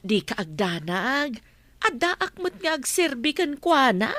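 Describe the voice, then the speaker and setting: High-voiced, scolding loyal nanny. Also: female voice, sing-song, unhurried, fairly bright tone, no echo, exaggerated voice. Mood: advising. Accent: Filipino, Ilocano. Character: older household nanny, loyal, protective, scolding. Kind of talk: Ilocano radio drama acting.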